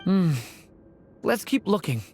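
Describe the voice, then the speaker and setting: Soft, unhurried dragon boy. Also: medium pitch, very animated, slight background noise. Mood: thoughtful. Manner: measured, thoughtful. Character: gentle young male dragon youth, earnest, wistful. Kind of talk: video game voice acting.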